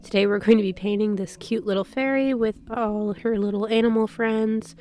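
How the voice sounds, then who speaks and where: A young woman hosting her art channel, talking casually while she paints. She has a cold, so her voice sounds stuffy, and she rambles in a chatty way with soft laughs and fillers. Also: mid-range voice, fairly even intonation, normal speed, a little hiss or hum. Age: young adult. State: stuffy, sick voice with a cough. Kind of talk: casual chat over a paint-with-me video.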